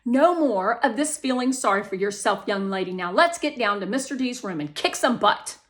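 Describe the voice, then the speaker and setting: A woman's voice: a little high, lively intonation, moderate pace, natural voice. A woman playing a feisty, supportive young female helper in a children's story, emphatic and no-nonsense. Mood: rallying. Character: supportive, feisty young female aide giving pep talks.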